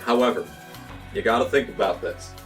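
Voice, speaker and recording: A young man, hoarse from losing his voice, commentating his racing game run with frequent swearing and excited outbursts. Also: medium pitch, fairly quick, recorded from a distance, soft background music. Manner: excitable, swears often, jumps into yelps when the race turns. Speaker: gaming channel host. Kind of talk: gameplay commentary.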